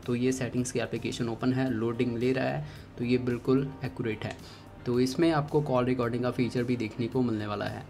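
A man's voice: medium pitch, a little mellow. A man with an Indian accent hosting a tech tutorial, giving step-by-step instructions to viewers.

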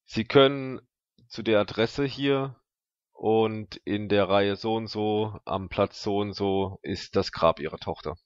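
Man playing a formal, cryptic mystery caller, lowish voice. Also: slow, no room sound, highs cut off. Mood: cold, matter-of-fact. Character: polite, insistent, secretive mystery caller offering deals.

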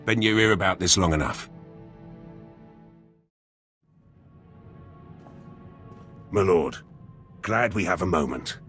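An actor playing a blunt, battle-hungry special forces soldier in a video game, gruff and husky, speaking in clipped, terse sentences. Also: male voice, deep voice, animated, moderate pace, fairly bright tone. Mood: proud, businesslike. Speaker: soldier companion character. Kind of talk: video game dialogue acting. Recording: music under the voice.

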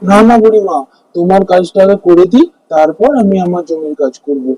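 Man acting a high-voiced, husky village farmer. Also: brisk, dark tone, no room sound, low-quality recording. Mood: caring, reassuring. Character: kind, hard-working poor village farmer, male. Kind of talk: Bengali animated fairy-tale dialogue.

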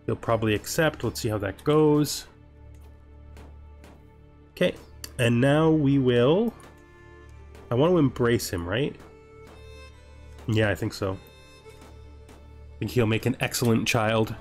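A man's voice: medium pitch, takes it slowly, long pauses, a little mellow. A man giving casual commentary on a strategy game, thinking aloud as he plays. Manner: thinks aloud, talking to himself. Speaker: gaming video host. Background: background music.

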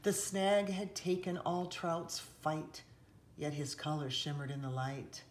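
A woman reading a picture book aloud expressively, with dramatic hushed passages and emphatic rises, recorded indoors by a camera. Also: low voice, slow pace. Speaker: children's author reading aloud. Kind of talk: expressive storybook read-aloud. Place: indoors, seated on a sofa in a room.

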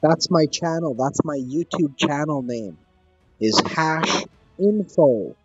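Husky upbeat Canadian gaming YouTuber. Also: male voice, fairly high pitch, lively intonation, no echo, dull audio, faint music behind. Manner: upbeat, slangy, repeats himself slowly to a non-English speaker. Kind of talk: casual chat with an online gaming opponent.

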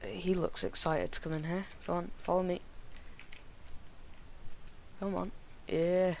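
A man narrating his own gameplay in a casual, mumbling way, sounding croaky from a cold and talking to the animals on screen. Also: high-pitched, little pitch movement, a little hiss or hum. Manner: slow, mumbling, talks to the animals. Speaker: gaming video creator.

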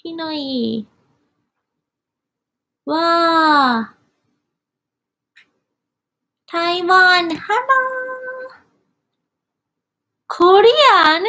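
A woman's voice: extremely high pitch, drawn-out words, long pauses, pushed and bright. A young Japanese woman in her twenties with a Japanese accent, chatting on a live stream from a small room and cheerfully reacting to viewer comments. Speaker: YouTuber. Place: small indoor room with wooden cabinets.

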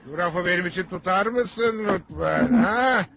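High-pitched grumpy cartoon dad. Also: male voice, a little slow, noisy background. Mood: teasing, needling. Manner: scolding, blustering, sarcastic. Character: grumpy, stingy, sarcastic middle-aged cartoon father. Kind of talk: dubbed cartoon acting.

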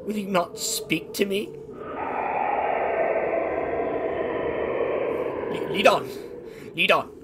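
An actor playing a humbled, frightened old miser, earnest and hesitant, in a Victorian radio drama. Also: male voice, high voice, unhurried. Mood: anxious, pleading. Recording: noisy amateur radio drama recording.

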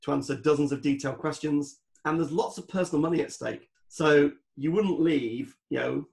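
A man's voice: a little high, moderate pace, vocal fry, a little mellow. A man speaking as a guest expert on a podcast, explaining things in a methodical, instructive way. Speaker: guest expert on home renovation project management.

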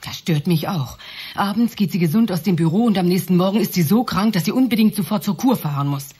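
An actress playing an anxious, evasive woman with a husky, breathy voice in a German radio drama. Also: mid-range voice, lively intonation, fast, a little mellow. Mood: nervous, suspicious.

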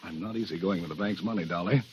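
A man's voice: sits low, fast, a little hiss or hum, lightly acted. An actor playing an easygoing, wry young male bank teller in 1940s radio style. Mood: teasing. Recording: muffled old radio broadcast.

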